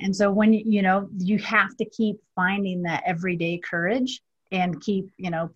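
A woman entrepreneur talking reflectively in a casual conversation, filling pauses with "you know". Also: on the low side, lo-fi sound. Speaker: podcast guest, entrepreneur.

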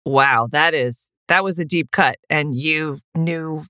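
A woman's voice: low voice, slow, bright, forceful tone, no echo, clean studio sound. A woman co-hosting a casual chat podcast, playful and self-mocking, laughing easily as she talks. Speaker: podcast co-host.

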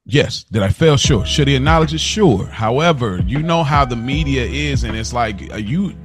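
A man argues casually about sports as a podcast co-host. His medium-pitched voice swings up and down with lots of animation, full of slang and repeated denials, with music under the talk. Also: expressive, normal speed.

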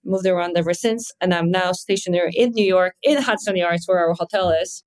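A woman's voice: fairly low pitch, darkish tone. Woman, Polish accent, thirties, podcast guest, upbeat, candid. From Poland. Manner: upbeat, quick and candid with light laughs. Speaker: guest, fitness company executive. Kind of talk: podcast interview.

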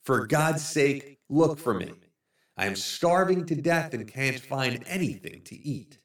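A man voicing a lost, starving man who pleads in a soft, hushed, higher voice. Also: expressive, measured pace, echoey room, distant mic. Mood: desperate.

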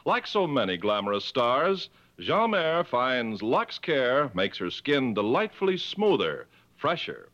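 A polished male radio announcer of the 1950s reading promotional copy. His mid-pitched voice swings with lively intonation, on a dull old broadcast recording. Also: slow pace, few pauses.

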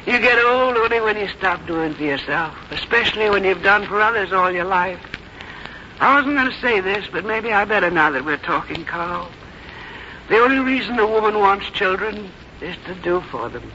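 Old farm mother, seventies, folksy, firm, sharp bright tone, vintage radio drama, muffled recording. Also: medium pitch, lively intonation, moderate pace. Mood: earnest, firm. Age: 70s. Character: plain-spoken, strong-willed seventy-three-year-old farm mother. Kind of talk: 1950s radio drama acting. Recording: dull, noisy old radio broadcast recording.

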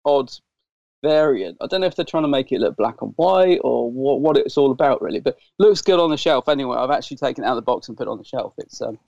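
A man co-hosting a podcast, chatting casually and rambling through stories, his throat a bit dry and croaky. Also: medium pitch, lively intonation, fast talker, a little mellow, no echo. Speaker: podcast co-host. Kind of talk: casual chat. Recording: dull lo-fi sound.

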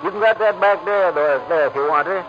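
An American man playing a soft, round, suspicious roadside bar owner in a 1940s radio drama, with a folksy manner. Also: high-pitched, brisk, noisy background, close to an everyday voice. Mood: sly, dismissive. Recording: muffled old radio recording with music.

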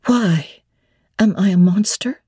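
An earnest, proud young woman, as played by a woman narrator, speaking directly in a mellow voice at middling pitch. It has the sound of a dramatized literary audiobook. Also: slow, breathy edge, no room sound, clean studio sound. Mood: nettled, defensive.

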